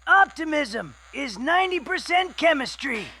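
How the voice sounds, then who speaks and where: An actor playing a reckless, enthusiastic wasteland scavenger who talks in excited exclamations. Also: male voice, very high-pitched, a little slow, exaggerated voice.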